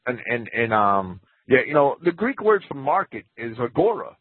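Husky guy on a tinny radio line, lively up-and-down voice, keeps restarting sentences. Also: mid-range voice, normal speed, no room sound. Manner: hesitant, many restarts, cautious qualifications. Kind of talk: casual radio talk. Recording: phone-like radio audio.

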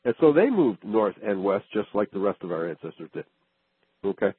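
A man's voice: lowish voice, sing-song, a little fast, breathy edge, no room sound. A man hosting a radio talk show, chatting casually and checking in with listeners with frequent "okay?". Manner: asks questions, frequent "okay" and "right" affirmations. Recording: phone-like radio audio.

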